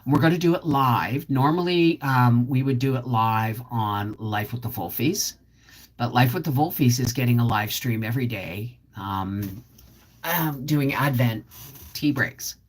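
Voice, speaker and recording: A woman's voice: a bass voice, a little slow. A middle-aged woman chatting casually on a live stream, rambling and answering viewer comments as she goes. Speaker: homestead channel host.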